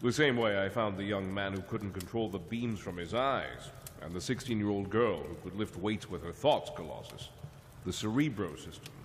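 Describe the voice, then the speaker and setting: An actor playing a wise, calm telepath mentor who speaks in a measured, lecturing way. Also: male voice, low voice, slight background noise, lightly acted. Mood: grave. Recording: long reverb over the voice. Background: music score.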